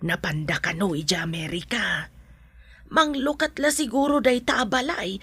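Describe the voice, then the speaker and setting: A woman's voice: mid-range voice, moderate pace, sharp tone, slightly rough, dry recording. A woman in a radio drama playing a worried, protective village mother with an Ilocano accent. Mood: concerned. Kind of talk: comedic radio drama acting.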